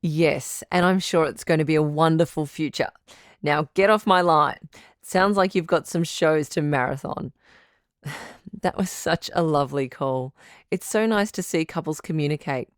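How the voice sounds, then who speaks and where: A woman playing a supportive, frank doctor who hosts a radio advice show in an audio drama, measured and reassuring. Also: lowish voice, no echo, studio quality, lightly acted. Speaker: radio call-in host.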